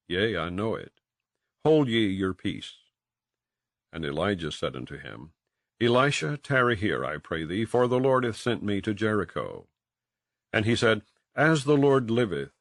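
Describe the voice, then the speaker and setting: A man narrating scripture in a solemn, measured and declamatory way, like a scripted audiobook reading. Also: on the low side, no room sound, studio quality. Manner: measured, declamatory, with archaic phrasing.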